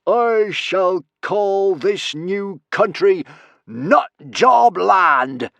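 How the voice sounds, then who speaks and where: A man voicing a maniacal, gloating Viking villain for a storybook, bellowing in drawn-out, grandiose phrases. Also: high-pitched, animated, vocal fry, fairly bright tone, no echo, pitched above the performer's own voice, heavily acted. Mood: gloating menace.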